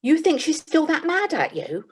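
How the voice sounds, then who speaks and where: A woman's voice: high-pitched, sing-song, moderate pace. A woman playing a businesslike, slightly weary job agent in a comic radio play. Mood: dry. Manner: businesslike, dry, faintly exasperated. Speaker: employment agent.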